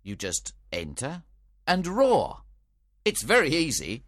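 A man's voice: on the high side, huge pitch swings, slow, fairly bright tone, no room sound, studio quality, voice pushed up, exaggerated voice. A man voicing a firm, patient but easily exasperated troupe director giving instructions in an audiobook. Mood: reassuring. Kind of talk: audiobook character dialogue.

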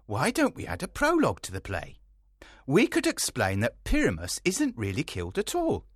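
A man voicing a self-important, opinionated amateur actor in a storybook, with emphatic delivery and a raspy voice. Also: medium pitch, wildly swooping pitch, moderate pace, no room sound, clean studio sound, voice pushed up. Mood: fussy, insistent. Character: loud, self-important amateur actor fussing over his play. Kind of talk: storybook character voice.

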